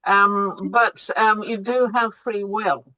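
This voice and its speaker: A woman's voice: mid-range voice, fairly even intonation, slow pace, bright, forceful tone, dry recording, low-quality recording. A woman astrologer answering audience questions over a video call, hesitant, with many ums and restarts. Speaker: guest astrologer.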